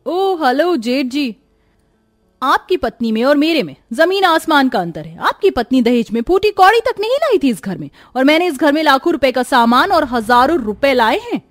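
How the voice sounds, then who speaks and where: A woman's voice: high-pitched, sing-song, moderate pace, no room sound, clean studio sound. A woman voicing an arrogant, rude, rich young daughter-in-law in a moral cartoon story. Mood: haughty. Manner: haughty, scornful.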